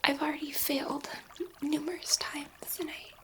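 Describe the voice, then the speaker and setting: Whispering, gentle alien scientist played by a woman. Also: sits high, level tone. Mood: wistful, tender. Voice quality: soft breathy whisper. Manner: slow, tender, reassuring, with halting pauses. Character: gentle, awed female alien scientist fond of humans. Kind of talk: whispered ASMR sci-fi roleplay.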